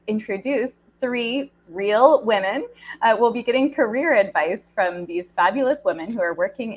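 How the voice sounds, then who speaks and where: A woman's voice: medium pitch, muffled recording. A woman hosting and opening an online panel on a video call, upbeat and enthusiastic with some fillers. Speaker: program director, event host.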